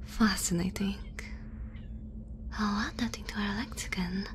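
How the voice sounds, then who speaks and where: A woman playing an aloof, clinical alien examiner in a whispered ASMR roleplay, with a measured, formal, matter-of-fact manner. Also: medium pitch, steady tone, noisy background, close to an everyday voice.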